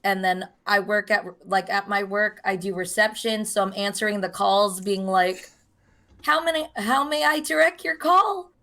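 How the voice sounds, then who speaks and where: A young woman with a hoarse voice, co-hosting a podcast in casual chat, telling a long story and acting out the voices of the people in it. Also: mid-range voice, normal speed, sharp tone. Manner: chatty storyteller, acts out quoted voices. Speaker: podcast co-host.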